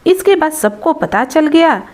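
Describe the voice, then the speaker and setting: A woman's voice: high-pitched, animated, measured pace, darkish tone. A woman narrating a scripted folktale as a storyteller.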